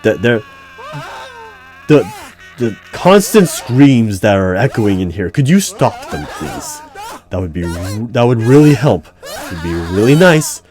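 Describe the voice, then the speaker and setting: A man playing an unnerved, exasperated hiring manager in a one-sided audio roleplay, with halting speech that repeats words. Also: fairly low pitch, very animated, a little mellow, soft background music. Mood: exasperated, pleading.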